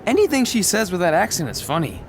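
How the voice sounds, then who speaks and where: A man's voice: high-pitched, huge pitch swings, quick pace, a little hiss or hum, full character voice. A man playing a casual, wisecracking, supportive young wrestler friend in a video game cutscene. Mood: joking. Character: joking, supportive young male wrestling buddy.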